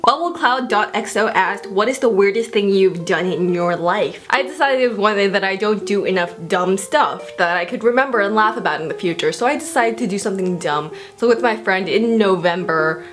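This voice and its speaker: Bubbly teen girl, casual vlog Q&A, mid pitch, music bed. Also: lively intonation. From South Korea. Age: teenager. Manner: bubbly, self-mocking, quick asides. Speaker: YouTuber answering viewer questions. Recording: music playing under the voice. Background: background music.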